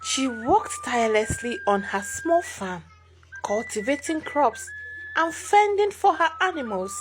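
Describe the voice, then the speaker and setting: A woman's voice: high-pitched, sing-song, unhurried, noisy background. A woman narrating a scripted folktale as a storyteller.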